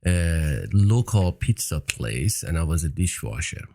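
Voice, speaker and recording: A middle-aged man speaks with a Persian accent and a very low voice. He tells his story as an interview guest and acts out each person in it. His speech is animated and conversational. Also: measured pace, gravelly. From Iran. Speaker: entrepreneur guest.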